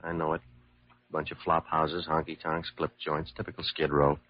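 A man's voice: rumbling low, little pitch movement, moderate pace, dry recording. A man acting a cynical, world-weary police lieutenant in a classic radio drama, blunt and slangy. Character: cynical, hard-boiled male police lieutenant. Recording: muffled old radio recording.

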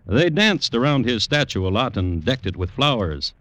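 A man playing a droll crime historian who narrates in a formal, measured, deadpan 1950s radio style. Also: mid-range voice, no room sound. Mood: wry, deadpan. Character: dry, droll crime historian hosting his true-crime tales. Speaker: host and narrator. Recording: noisy vintage radio recording.

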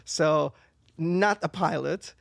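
A man's voice: fairly high pitch, slow, gravelly, no room sound, studio quality. A man from Israel, a native Hebrew speaker, chatting casually as an interview guest, rambling and searching for words. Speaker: interview guest, singer and performer. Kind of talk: casual chat.

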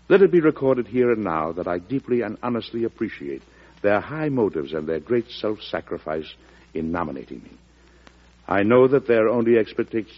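An actor playing a shrewd, folksy US president in an unhurried, genial, oratorical manner, in old-time radio drama style. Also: male voice, on the low side, slightly rough, full character voice. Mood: solemn, oratorical. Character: shrewd, folksy, wily US president, a seasoned father. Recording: muffled classic radio broadcast recording.

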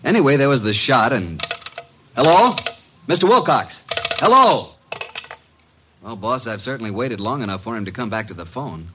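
Man acting a brash, animated radio detective's sidekick. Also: medium pitch, normal speed, long pauses, natural voice. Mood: excited, urgent. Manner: breezy, slangy, animated. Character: brash, wisecracking young male detective's assistant and narrator. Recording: noisy vintage radio recording.

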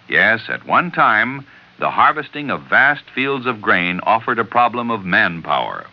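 A low-voiced American man announcing on old-time radio. His scripted narration swings in a lively way, and the recording is slightly muffled.

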